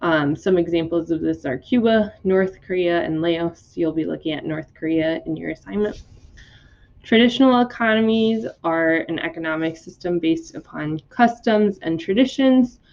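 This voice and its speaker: Brief: woman teacher, slightly low voice, getting over a cold, loose chatty lecture full of ums, dull lo-fi sound. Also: normal speed, darkish tone. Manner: casual, many ums and likes. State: recovering from a cold. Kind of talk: recorded classroom notes lecture. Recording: dull lo-fi recording.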